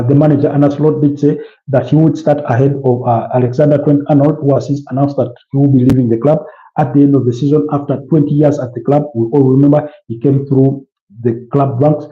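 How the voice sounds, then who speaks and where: A man with a Ugandan accent hosting a casual football preview livestream, chatting with viewers in a hesitant way and repeating phrases. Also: mid-range voice. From Kampala, Uganda. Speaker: livestream host. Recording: dull, roomy, echoey sound.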